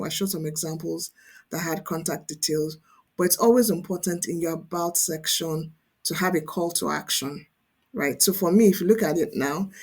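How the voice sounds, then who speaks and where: A woman's voice: low voice, darkish tone, husky. A woman presenting an online tutorial as a coach, explaining conversationally and emphatically, often checking in with the listener. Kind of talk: instructional screen-share tutorial, conversational.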